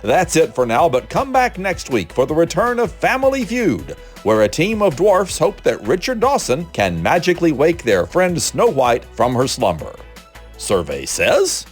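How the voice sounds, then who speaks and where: A man announces TV listings like a game show host, with very lively intonation and a fairly high voice. Music plays softly behind his showman-like, scripted delivery. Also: measured pace.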